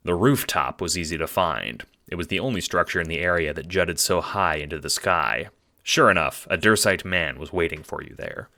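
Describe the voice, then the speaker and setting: A man narrating a scripted audio drama in a measured, dramatic storytelling manner. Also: deep voice, pushed and bright.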